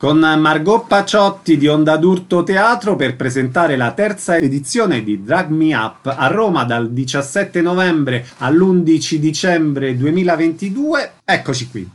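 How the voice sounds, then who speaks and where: A male radio host presents and interviews. His voice sits a little high for a man. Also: animated, unhurried, hardly any pauses, soft background music.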